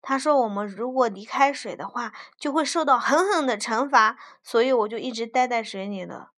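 A woman's voice: fairly high pitch, moderate pace, fairly bright tone, close to an everyday voice. A woman voicing a little fish in a storybook, earnest and wistful.

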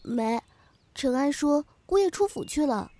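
Woman acting young maid, pert, exclamatory, high voice, bouncy intonation, costume audio drama. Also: lively intonation, measured pace, warm tone. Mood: anxious, obedient. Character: pert, huffy young maidservant girl, loyal to her mistress.

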